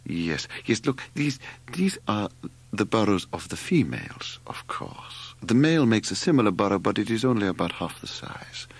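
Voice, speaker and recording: A man voicing a shy, learned bearded naturalist in an audiobook, speaking slowly and meticulously with hesitant ums and pauses. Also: lowish voice, very animated, slightly rough, heavily acted. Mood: absorbed, precise.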